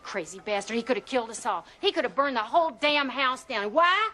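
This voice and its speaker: A woman's voice: fairly high pitch, expressive, fairly quick, bright, forceful tone. An actress playing a defiant Southern mother in a film drama, outspoken and sardonic, with a Southern US accent. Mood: furious. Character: fiery, defiant Southern mother with biting sarcasm.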